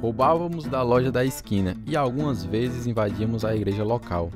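A man's voice: mid-range voice, fairly quick, over music, natural voice. A man playing a grown man soberly recalling his youth, narrating in a radio drama. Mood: reflective. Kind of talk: scripted first-person narration.

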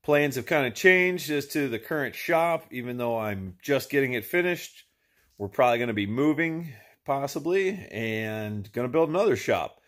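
A man's voice: medium pitch, lively intonation, normal speed, fairly bright tone. A man in his thirties chatting casually and unscripted in a laid-back way, sometimes muttering to himself as he works. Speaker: woodworker unboxing and reviewing a tool chest. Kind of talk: casual unscripted vlog chat. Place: indoor workshop with shelving and lumber. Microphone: phone camera, at arm's length, then a few feet away. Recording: background noise and clicks, handling sounds.

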